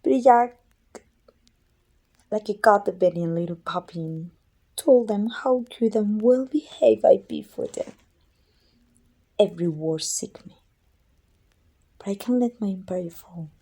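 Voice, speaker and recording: Woman acting a slow, husky evil queen. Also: medium pitch, warm tone. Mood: bitter, resentful. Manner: imperious, deliberate, dramatic delivery. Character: proud, weary evil queen sorceress, domineering and bitter. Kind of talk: scripted ASMR roleplay acting.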